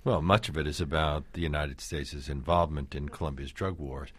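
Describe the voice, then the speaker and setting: Calm radio interviewer, a man with a very low voice, dry booth sound. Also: slightly rough, dry recording. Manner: calm and inquisitive, slow with pauses.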